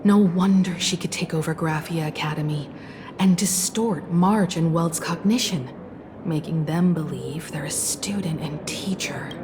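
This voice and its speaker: A woman's voice: low-pitched, unhurried, noisy background. A woman playing a serious, impatient young investigator in a video game, curt and businesslike. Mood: cool, focused. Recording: background music behind the voice.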